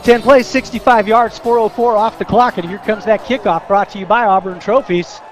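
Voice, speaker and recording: A man's voice: sits high, fairly even intonation, normal speed, soft background music. An American man giving conversational, home-team analysis between plays as a color commentator on a live football radio broadcast. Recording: dull lo-fi sports radio broadcast.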